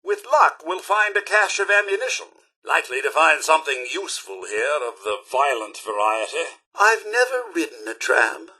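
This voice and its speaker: Robot butler, British accent, bright forceful tone, very animated, polite, theatrical, old-fashioned, video game companion. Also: male voice, on the high side, moderate pace, breathy edge, exaggerated voice. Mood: curious, chipper. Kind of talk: scripted game voice lines.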